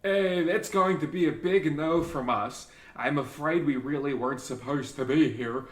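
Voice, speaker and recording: A man's voice: fairly high pitch, moderate pace, lightly acted. A man playing a cheerful, apologetic mining team leader in a radio comedy, awkwardly polite. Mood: breezy. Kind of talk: old-time radio comedy acting. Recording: echoey, noisy with clicks.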